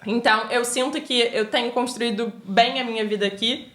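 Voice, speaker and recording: A woman's voice: fairly high pitch, steady tone, normal speed, a bit bright. Woman, young, Carioca accent, earnest reflective chat, roomy echo. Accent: Carioca Brazilian Portuguese. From Brazil. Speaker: podcast guest. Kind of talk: casual chat.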